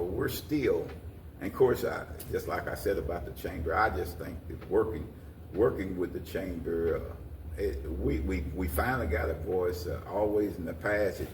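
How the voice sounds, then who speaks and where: An older American man giving an informal interview, talking in a halting, folksy way with repeated phrases. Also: sits low. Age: 60s or older. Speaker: interview guest, community leader. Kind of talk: informal talk-show interview. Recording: roomy echo, distant mic, faint noise.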